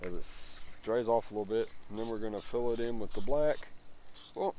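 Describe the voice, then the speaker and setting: A man's voice: fairly low pitch, fairly quick, very noisy. Rambling man in his fifties outdoors. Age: 50s. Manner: rambling, self-deprecating, chatty. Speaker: spray paint artist. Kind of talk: running commentary while working.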